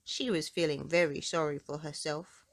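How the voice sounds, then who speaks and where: A woman reading a children's book aloud in an expressive storytelling style, drawing out words for emphasis. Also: sits low, normal speed.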